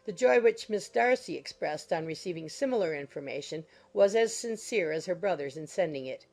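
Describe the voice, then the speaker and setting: A woman's voice: lowish voice, lively intonation, a little fast, a little mellow. A woman giving scripted narration of a period novel as an audiobook narrator. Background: music.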